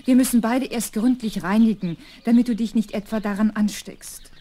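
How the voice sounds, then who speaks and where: An actress playing a caring, anxious nanny, brisk and protective, in a 1970s children's radio play. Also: female voice, a little high, fairly even intonation, dark tone, lightly acted. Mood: worried, caring. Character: anxious, caring nanny fussing over a little girl.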